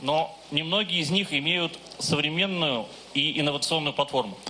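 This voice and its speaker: Brief: Russian guest speaker in his forties, halting phrase-by-phrase address, bright tense tone, faint room hum. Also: a little high, low-quality recording. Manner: halting, pausing between phrases. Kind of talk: formal address in short phrases for interpretation. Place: large hall with audience. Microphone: handheld microphone.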